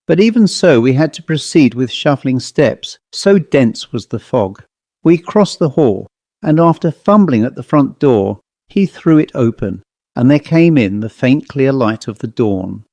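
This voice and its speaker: A man's voice: medium pitch, warm tone, no room sound. Male ghost story narrator, very dry recording. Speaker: audiobook narrator.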